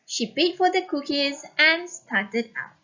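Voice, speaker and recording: A woman teacher reading a story expressively and giving lesson instructions with clear, instructive phrasing. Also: high voice, expressive, slow pace, bright, forceful tone, dull audio.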